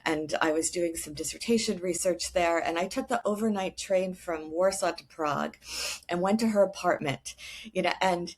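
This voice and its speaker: A woman's voice: on the low side, normal speed, a little hiss or hum. Woman, guest historian, earnest, thoughtful, restarts sentences. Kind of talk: casual conversation.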